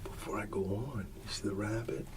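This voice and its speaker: A man softly reading a bedtime story in an ASMR style, soothing and often dropping into a whisper. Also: on the low side, fairly quick, recorded from a distance, very noisy. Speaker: ASMR storyteller playing a dad.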